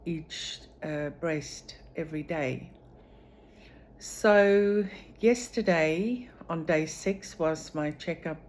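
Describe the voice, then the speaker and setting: A woman talks through a personal video diary in a deep, low voice. She speaks slowly and measuredly, pausing often between thoughts. A faint hum of room noise sits behind her. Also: darkish tone. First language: Afrikaans. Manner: slow, measured, with frequent pauses and fillers. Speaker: vlogger sharing her recovery.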